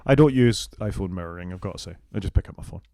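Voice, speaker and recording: A British man co-hosting a casual tech podcast, going through a list of features and giving quick, opinionated takes. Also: low voice, expressive, creaky, mellow, no echo, clean studio sound. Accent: British English. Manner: fast-talking, opinionated, goes down the list item by item. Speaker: podcast co-host.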